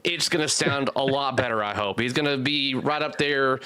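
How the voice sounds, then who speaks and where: A man's voice: medium pitch, normal speed, bright, forceful tone, clear, resonant tone. A man hosting a casual live stream, rambling and joking loosely, with casual swearing. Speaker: host.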